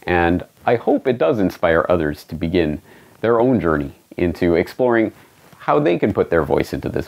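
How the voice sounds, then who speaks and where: A man in his forties from Canada, hosting his own online show with a scripted, emphatic monologue and lively hand gestures. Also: deep voice. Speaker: independent online journalist and host. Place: small home studio indoors, also outdoors on the street. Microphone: studio microphone on a stand beside the camera.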